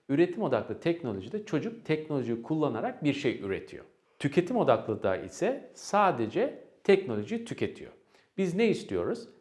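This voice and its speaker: A man presents educational advice for parents in a mid-pitched voice. His intonation moves up and down a lot as he explains. He speaks didactically, setting up questions and then answering them step by step. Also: a little mellow.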